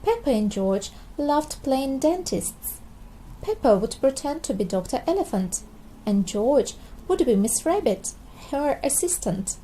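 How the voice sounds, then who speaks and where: A woman storyteller reads to young children in a high, mellow voice. The pace is slow and the intonation very lively, over faint background noise. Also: rough recording. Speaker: storybook narrator. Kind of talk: expressive read-aloud for young children.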